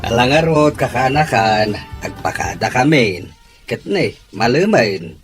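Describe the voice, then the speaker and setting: An actor playing a gentle middle-aged uncle in a radio drama who is worried about his niece's studies, speaking in a warm and fatherly way. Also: mid-range voice, sing-song, a little slow, music in the background.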